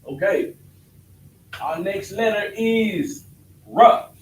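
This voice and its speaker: A man's voice: high-pitched, expressive, drawn-out words. A man teaching a class on alphabet letters, casual and often checking that his students understand. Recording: echoey room, distant mic, faint noise.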